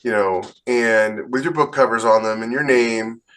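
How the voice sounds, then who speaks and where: A man's voice: medium pitch, steady tone, moderate pace, a bit bright, low-quality recording. A man on a podcast giving practical advice in casual, plain-spoken chat. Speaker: author and podcast co-host.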